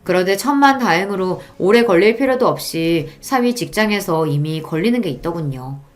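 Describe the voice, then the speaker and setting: A woman's voice: on the low side, expressive, normal speed, soft background music. A woman reading a scripted first-person story in a dramatic radio-story style. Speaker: story narrator.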